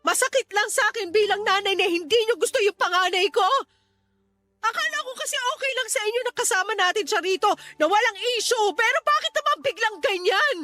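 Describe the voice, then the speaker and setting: A man's voice: very high-pitched, a little fast, pushed and bright, faint music behind, pitched above the performer's own voice. A man voicing a hurt, protective young mother with pleading, accusing questions in a Tagalog radio drama.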